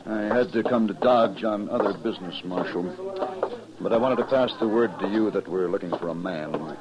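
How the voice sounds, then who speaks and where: An actor playing a formal, dutiful cavalry major in a 1950s radio western, speaking in a clipped, official way. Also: male voice, on the low side, normal speed, a crowd in the background, close to an everyday voice. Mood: businesslike. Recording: muffled vintage radio recording, background voices.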